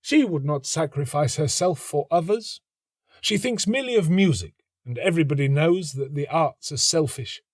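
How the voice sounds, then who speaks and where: An aloof young storybook scholar played by a man. He pronounces his verdicts in a high, affected voice that swoops with pompous emphasis. He sounds dismissive and pedantic, in a dry recording. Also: lively intonation, normal speed, no room sound. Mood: smug dismissal. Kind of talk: storybook character acting.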